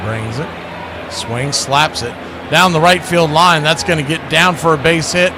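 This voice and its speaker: A man's voice: on the high side, a little slow, noisy background. A man calling live baseball play-by-play, conversational, with clipped calls and wry asides. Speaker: play-by-play radio announcer.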